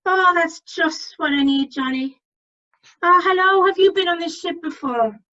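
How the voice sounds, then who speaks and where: A woman's voice: extremely high pitch, unhurried, sharp tone, lo-fi sound, heavily acted. A woman playing a cheerful, chatty widow with an Irish accent in an amateur radio comedy, over online meeting audio.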